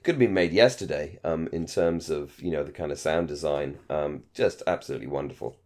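A man with a low-pitched voice chats as a podcast co-host. He is appreciative and emphatic, circling back to repeat his phrases as he casually talks things over. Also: brisk, darkish tone. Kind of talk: casual discussion of a radio drama.

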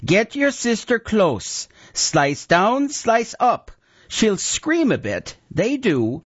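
Guy putting on a gruff bellowing old wizard, higher than his own voice, slow and dull-sounding. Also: wildly swooping pitch, no room sound, full character voice. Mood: gruff commanding. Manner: bellowing, archaic rustic speech. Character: gruff old male wizard, severed talking head, ruthless. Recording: dull lo-fi recording.

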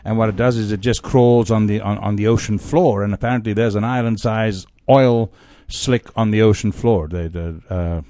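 A man's voice: on the low side, normal speed, hardly any pauses, mellow, no room sound. A man co-hosting a casual radio discussion, speaking in an emphatic, opinionated way. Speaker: radio co-host.

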